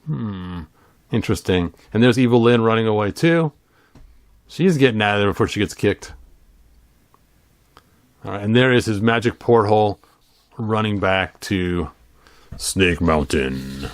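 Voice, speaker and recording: A man's voice: fairly low pitch, a little slow, pauses often, no room sound. Playful middle-aged man, dry close sound. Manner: playful, rambling, self-amused, adds sung sound effects. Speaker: host of a toy and storybook channel. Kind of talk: casual read-along commentary.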